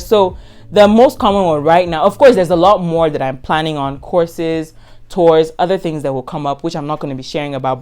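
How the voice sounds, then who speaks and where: A woman's voice: sits low, darkish tone. A Nigerian woman in her twenties, a content creator answering viewer questions in a casual vlog, frank and emphatic, repeating words for stress. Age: 20s.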